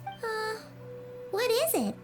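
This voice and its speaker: A woman voicing a small, excitable fairy companion with a squeaky, childlike voice in a video game. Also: slow pace, full character voice. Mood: pondering, eager. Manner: exclamatory, earnest, refers to herself in third person. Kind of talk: video game character acting. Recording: game audio with loud music.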